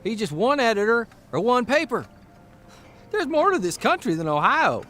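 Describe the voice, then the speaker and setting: High-pitched, exasperated 1930s newspaperman. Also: sing-song, moderate pace, sharp tone, a little hiss or hum, heavily acted. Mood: indignant. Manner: fussy, grumbling, rambling. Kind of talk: period audio drama acting.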